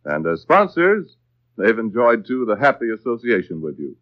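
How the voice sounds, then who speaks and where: A man's voice: on the low side, wildly swooping pitch, normal speed, no room sound, dull audio. An American man working as a radio announcer, reading scripted copy in a formal, carefully enunciated 1940s style. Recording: dry, band-limited vintage radio broadcast.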